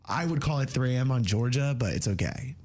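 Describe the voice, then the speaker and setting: A man's voice: mid-range voice, lively intonation, a little fast, a little mellow. A man hosting a morning radio show, chatting loosely with lots of fillers. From New Jersey. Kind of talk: casual morning radio banter.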